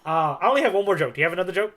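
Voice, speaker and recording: Casual, slightly high-voiced man joking around. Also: expressive, rapid-fire, pushed and bright. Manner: joking, informal. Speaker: podcast co-host. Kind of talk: casual chat about manga.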